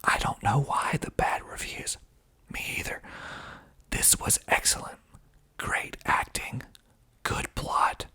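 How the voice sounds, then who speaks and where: A man reading aloud in a whispered ASMR style, deliberate and breathy, with wry, deadpan asides. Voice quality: breathy, mostly unvoiced whisper. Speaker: filmmaker and actor hosting an ASMR video.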